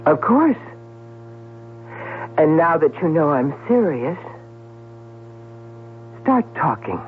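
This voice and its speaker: A woman's voice: deep voice, sing-song, slow, background noise, exaggerated voice. An actress playing a breezy, sardonic woman gossip columnist in a radio drama, with affected, arch flourishes. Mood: cold, menacing. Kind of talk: 1970s radio drama acting. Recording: tinny, humming 1970s radio recording.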